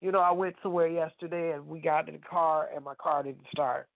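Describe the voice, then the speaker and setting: A woman's voice: low voice, steady tone, a little fast, vocal fry, no room sound. A woman co-hosting a faith talk radio show with a stuffy nose, testifying emphatically and talking straight to listeners. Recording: dry phone-line sound.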